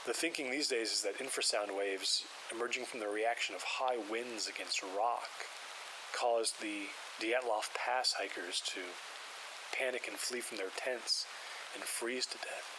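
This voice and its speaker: A man voicing a retiring parapsychologist, older and methodical, who talks in a measured, lecturing way. His voice sits a little low. Hiss and rain run clearly under the whole audio drama recording. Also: lightly acted. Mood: explanatory. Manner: measured, explanatory. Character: aging male parapsychologist, calm and methodical. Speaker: paranormal investigator. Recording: steady hiss and rain behind.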